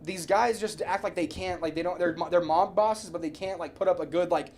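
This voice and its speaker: A high-voiced man argues casually, as on a podcast, over faint background noise. He repeats himself and restarts sentences as he pushes his point, swearing freely and speaking with emphasis. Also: brisk, a little mellow. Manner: emphatic, repetitive, stumbling into restarts, frequent swearing. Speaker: podcast co-host. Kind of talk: casual argumentative chat about movies.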